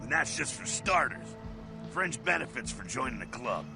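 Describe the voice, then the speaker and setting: An actor playing a brash, streetwise vampire mentor in a video game, gravelly, slangy and swaggering, with a rough jovial streak. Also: male voice, mid-range voice, sing-song, normal speed. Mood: animated, boastful. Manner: slangy, folksy, swaggering, swears. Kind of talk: video game character acting. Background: music.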